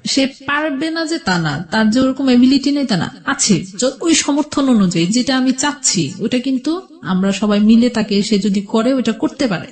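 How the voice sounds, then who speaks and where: A woman's voice: fairly high pitch, lively intonation, normal speed, darkish tone. A middle-aged woman with a Bangladeshi accent telling her life story as a radio interview guest, conversational, repeating and rephrasing as she goes.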